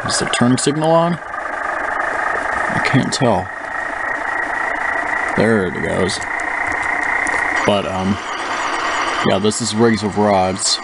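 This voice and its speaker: A man's voice: lowish voice, extremely slow, pauses often. A young man chatting casually over gameplay, rambling with lots of fillers and restarts. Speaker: gaming channel host. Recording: noisy with engine sounds from the game. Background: simulated bus engine noise.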